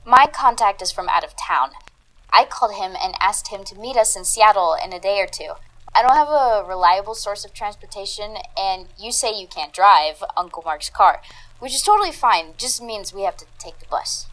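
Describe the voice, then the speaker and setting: A woman's voice: mid-range voice, expressive, moderate pace, bright, forceful tone, rough recording. A woman playing an analytical, unflappable paranormal investigator in an audio drama, speaking in a methodical, precise way. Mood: casual, easygoing. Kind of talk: audio drama dialogue, measured theorizing.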